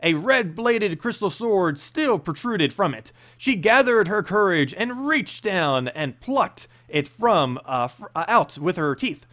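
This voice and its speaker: A man reads a fantasy tale aloud as narrator. His voice sits high for a man and moves widely up and down in pitch. The delivery is dramatic and expressive, in the manner of a storybook reading. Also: takes it slowly, few pauses. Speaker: narrator and channel host.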